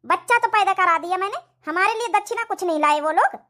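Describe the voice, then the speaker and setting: A woman's voice: extremely high pitch, fast, sharp tone. A woman voicing a stern, greedy female guru and sorceress, imperious and incantatory, in a village comedy cartoon. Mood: stern, demanding.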